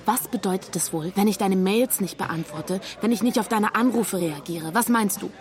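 A woman's voice: mid-range voice, normal speed, darkish tone, natural voice. A woman playing a hurt, confrontational young academic in a German radio drama, direct and insistent. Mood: hurt, accusing.

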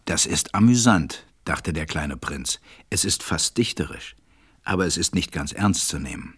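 A man narrating a storybook radio play with a husky voice and a measured, reflective manner. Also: low voice, expressive, a bit bright, dry recording, full character voice.

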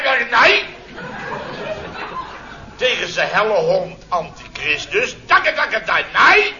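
Blustering male boss for radio comedy: forceful, swooping delivery, self-important, dull old recording. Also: sits high, heavily acted. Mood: excited storytelling. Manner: theatrical, exclamatory, sarcastic, mimics others in his telling.

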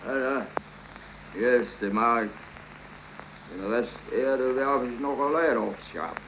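An actor playing a gruff, dryly amused gravedigger who speaks laconically, in a 1930s radio play. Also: male voice, medium pitch, slow, fairly bright tone. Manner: laconic, dry. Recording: hissy, echoey, distant 1930s radio recording.

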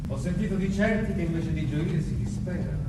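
A man's voice: high voice, brisk, very noisy, lightly acted. Echo-drenched, declamatory mad Roman emperor, played by a man. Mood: scornful, indignant. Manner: declamatory, swinging from murmured brooding to frenzied shouts. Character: mad, megalomaniac young Roman emperor, brooding and grandiose. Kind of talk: theatrical stage monologue. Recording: echoey distant stage, loud music. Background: loud music.

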